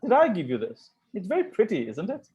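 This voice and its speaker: A man reading the part of a smitten, ardent young Victorian gentleman in an amateur table read of a stage comedy, wooing earnestly. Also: high-pitched, huge pitch swings, fairly quick, dull audio, background noise, voice pushed up. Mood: surprised, tender.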